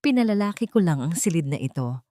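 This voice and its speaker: A woman's voice: fairly low pitch, sing-song, brisk, mellow, no echo. Woman narrator as controlling husband, sugary fake sweetness, pushy manner, audio storybook dialogue, dry sound. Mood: smooth, falsely caring.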